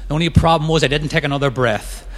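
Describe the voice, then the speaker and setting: A middle-aged man with a Northern Irish accent, telling his recovery story as main speaker at a meeting, quick and self-mocking. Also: a little high, long reverb tail, a little hiss or hum. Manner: fast-talking, self-mocking humor. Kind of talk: personal recovery talk.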